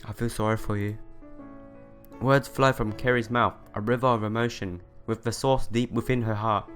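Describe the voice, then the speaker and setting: A man hosting a let's play, reading visual novel narration aloud in an expressive storytelling manner. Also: fairly low pitch, moderate pace. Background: background music.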